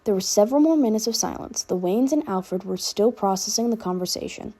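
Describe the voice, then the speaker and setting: Mellow-voiced woman reading fan fiction. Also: medium pitch, animated, a little fast, husky. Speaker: podfic reader and narrator. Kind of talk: amateur fan fiction narration.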